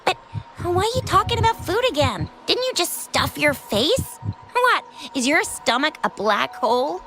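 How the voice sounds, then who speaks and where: A spirited young woman from an anime game, scolds in a high voice that leaps about. The tone is teasing and exasperated, over clearly audible music. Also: very animated, moderate pace, fairly bright tone, full character voice. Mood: indignant, teasing.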